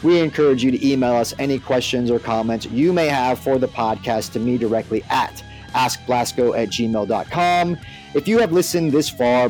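A man's voice: mid-range voice, a little mellow. A man hosting a podcast, speaking to listeners and reading out prepared material. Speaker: podcast host.

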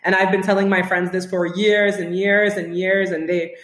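A woman's voice: lowish voice, little pitch movement, normal speed. A woman from Trinidad and Tobago, raised in the US, talking as a guest in a casual podcast conversation, frank and emphatic. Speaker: guest, writer. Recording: roomy echo.

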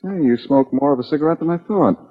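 A man's voice: fairly high pitch, fast talker, lightly acted. A man playing a brash, wisecracking amateur detective in a 1940s radio drama, with a wry, needling and assured manner. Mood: probing. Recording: muffled, dull 1940s radio recording.

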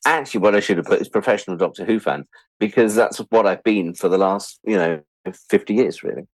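A man's voice: fairly low pitch, a little fast, breathy edge, dry recording. A British man in his sixties telling anecdotes as an interview guest in a chatty, self-deprecating way, acting out bits of dialogue. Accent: British English. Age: 60s. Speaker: interview guest, writer-producer. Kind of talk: animated anecdotal storytelling in an interview.

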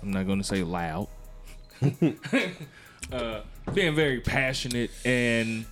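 A middle-aged man hosts a relaxed podcast conversation in a mid-range voice. He muses out loud, pausing and restarting his sentences. Faint music sits in the background. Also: medium pitch. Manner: laid-back, musing, with restarts and slow drawn-out pauses. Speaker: podcast host. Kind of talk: casual chat.

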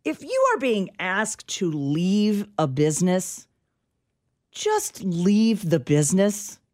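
A woman's voice: mid-range voice, big pitch swings, takes it slowly, darkish tone, no room sound, clean studio sound. A woman co-hosting radio, giving comic mock-official scanner reports with sassy banter. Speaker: radio co-host. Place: radio studio.

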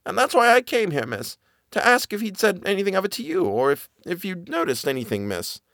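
A man's voice: high voice, sing-song, fairly bright tone, no room sound, studio quality, voice pushed up, full character voice. Anxious stammering nanny, a man reading her. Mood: fearful. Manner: halting, stammering, plain servant speech. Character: frightened old nanny, a middle-aged housekeeper woman.

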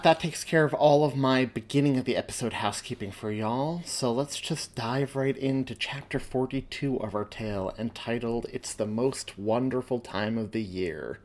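A man hosting a podcast and reading his own story aloud, moving between casual talk and narration. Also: mid-range voice. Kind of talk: casual podcast intro and audiobook-style narration.

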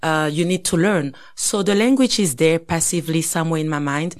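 A woman in her thirties from Congo talks through her thoughts on a podcast. Her voice sits somewhat low, and she speaks reflectively, pausing and restarting as she goes. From Congo (DRC). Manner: reflective, thinks aloud with many fillers and restarts. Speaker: podcast co-host. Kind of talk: casual conversation.

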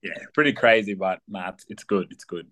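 A young man from South Sudan, a guest athlete chatting casually in an interview, relaxed and full of ums. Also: fairly low pitch, fairly quick. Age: young adult. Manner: relaxed, many ums and fillers.

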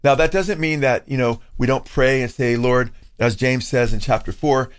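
A man in a relaxed talk-show conversation who keeps pulling in verses and the occasional Greek term. His voice sits at an ordinary middle pitch, and he talks in a loose, conversational way. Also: normal speed, smooth. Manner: conversational, quotes scripture with Greek terms. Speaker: co-host, pastor. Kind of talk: casual discussion. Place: California.

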